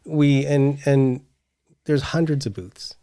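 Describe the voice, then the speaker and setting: A man's voice: medium pitch, fairly even intonation, takes it slowly, crowd noise. A middle-aged man chatting casually as a podcast co-host. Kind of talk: casual conversational chat.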